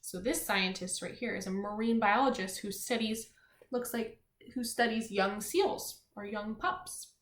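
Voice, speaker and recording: A woman teacher reading aloud to young children, pausing to explain simply, ask questions and encourage her listeners. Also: mid-range voice, fairly bright tone. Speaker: elementary school teacher. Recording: echoey room, faint background noise.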